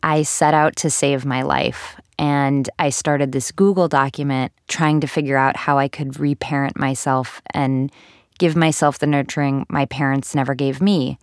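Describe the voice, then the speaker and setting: A woman's voice: rumbling low, steady tone, normal speed, no room sound, clean studio sound. A woman, an author, introducing herself and talking frankly and directly about why she wrote her book.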